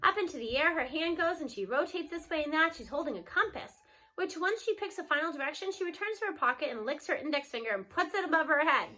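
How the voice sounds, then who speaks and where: A woman narrating a children's story aloud in an expressive read-aloud style. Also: high voice, quick pace, low-quality recording.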